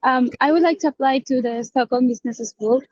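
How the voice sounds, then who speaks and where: A woman from Peru, raised in Spain, a webinar participant speaking haltingly over a video call. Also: sits high, darkish tone, no room sound. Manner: halting, with fillers. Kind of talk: casual conversation. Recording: dull, lo-fi call audio.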